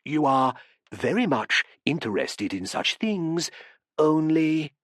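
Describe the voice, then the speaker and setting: A man reading an audiobook, voicing a courteous, probing male professor who speaks softly and gently presses with questions. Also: on the high side, sing-song, takes it slowly, dry recording, studio quality, heavily acted. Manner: soft, interested, courteous.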